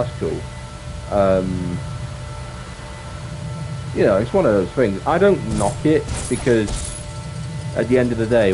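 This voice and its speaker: A man with a deep voice chatting casually on a livestream, rambling reflectively and often restating his phrases. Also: poor audio. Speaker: streamer and actor. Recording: music playing behind the voice. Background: clear background music.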